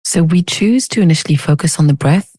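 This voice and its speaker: A woman leading a guided meditation as a teacher, speaking in a soothing, unhurried, gently inviting way. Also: sits low, slightly rough, no room sound, studio quality.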